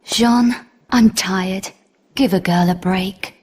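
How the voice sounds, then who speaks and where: An actress playing a confident, wry British adventurer heroine in a video game, with a cool, dry delivery. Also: female voice, mid-range voice, slow pace, a little mellow, breathy edge. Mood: weary, dry. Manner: cool, dry, self-assured. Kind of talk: video game cutscene acting.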